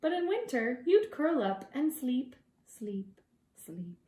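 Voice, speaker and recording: Slow-paced woman reading a storybook, echoey room. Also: high voice, very animated. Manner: gentle, deliberate, drawing out words for young listeners. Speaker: preschool teacher reading a picture book. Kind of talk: expressive children's storytime read-aloud with conversational asides.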